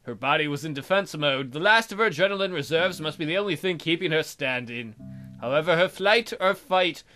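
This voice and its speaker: A man with a fairly high, bright, forceful voice reads a visual novel aloud. He narrates dramatically and drops in joking asides. Faint guitar music plays behind him. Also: animated, a little fast. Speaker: let's play host.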